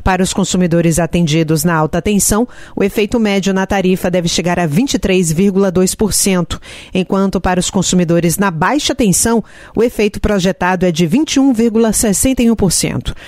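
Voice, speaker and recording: A man reading the news as anchor in a steady, formal announcing manner. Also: sits high, brisk, no room sound, clean studio sound.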